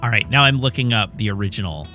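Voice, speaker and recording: Bright, animated male game streamer. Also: lowish voice, fast, soft background music. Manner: wry, joking. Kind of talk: casual live game commentary, sometimes reading aloud.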